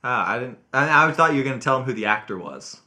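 Excitable man thinking aloud. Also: medium pitch, fast, a crowd in the background. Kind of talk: casual game chat.

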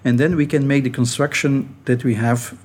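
A man's voice: mid-range voice, fairly even intonation, darkish tone, husky. Middle-aged man with a Dutch accent. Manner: thoughtful, halting, often restarting phrases. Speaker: guest academic. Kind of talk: reflective interview talk.

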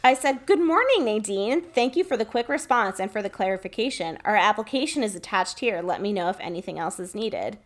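A woman podcast host talking casually in an animated, chatty way, like someone telling a friend a story and dramatising it. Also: mid-range voice, fairly quick, few pauses. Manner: animated, chatty, reading emails aloud dramatically.

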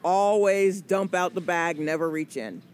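A woman's voice: on the low side, measured pace, darkish tone, slight background noise. A woman in her forties from the American South, a comedian chatting in an interview, rambling and joking with lots of fillers. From Atlanta, US South. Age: 40s. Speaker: comedian guest. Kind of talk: casual interview chat.

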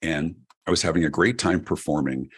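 Deep husky man. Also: brisk. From Denver, Colorado, USA. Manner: animated storyteller, chatty with restarts. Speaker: keynote speaker and guest. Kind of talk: podcast interview.

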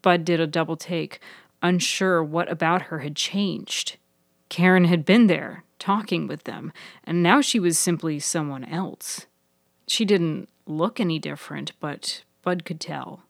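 A low-voiced woman narrates scripted fiction for a podcast. Her voice is recorded close and dry, with no room around it. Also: measured pace, gravelly, dry recording.

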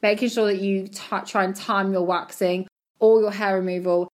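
A woman giving chatty, encouraging advice about bodybuilding show prep, her voice slightly croaky. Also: medium pitch, steady tone, normal speed, a little mellow. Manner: chatty, encouraging, repeats words for emphasis. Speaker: bodybuilding competitor and podcast host. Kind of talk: casual advice monologue.

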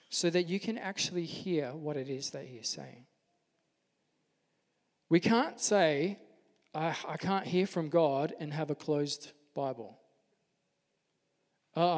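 Soft-spoken male pastor, echoing hall. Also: fairly high pitch. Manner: earnest, gentle preaching. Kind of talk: sermon. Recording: long hall reverb.

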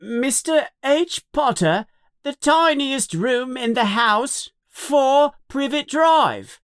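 A narrator voicing a loud, whiny, spoiled young boy in an audiobook story. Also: sits high, expressive, slow, smooth, dry recording, clean studio sound, pitched above the performer's own voice, exaggerated voice. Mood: tattling, loud.

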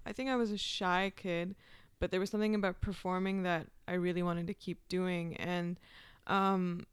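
A woman from Pakistan, an actor, telling her story in a casual interview with thoughtful pauses and many ums. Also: mid-range voice, smooth, dry recording, clean studio sound.

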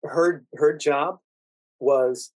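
An American man with a calm, quiet, measured way of talking, speaking conversationally as a podcast guest. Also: a little high, no echo. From Washington DC, United States. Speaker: podcast guest, actor and standardized patient. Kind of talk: conversational storytelling interview.

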